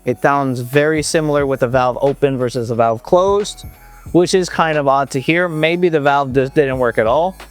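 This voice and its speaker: A man's voice: medium pitch, normal speed. A man presenting a fabrication project in a casual, joking vlog style. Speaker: exhaust fabricator presenting a build. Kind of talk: casual vlog chat about a build. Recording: music behind the voice. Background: music.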